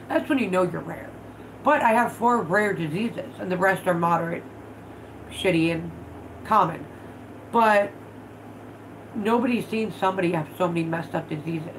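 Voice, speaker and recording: A woman's voice: lowish voice, normal speed, long pauses, a little hiss or hum, low-quality recording. A woman in her thirties hosting a casual livestream at home, stuffed up from allergies, chatting bluntly and swearing freely while she works with her hands. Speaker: vlog host. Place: living room at home, indoors.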